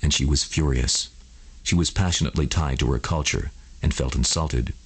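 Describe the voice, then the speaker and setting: A man tells a scripted bedtime story for adults. His voice sits extremely low in pitch and has a husky, rough-edged texture. He delivers the prose as a narrator reading to listeners. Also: moderate pace.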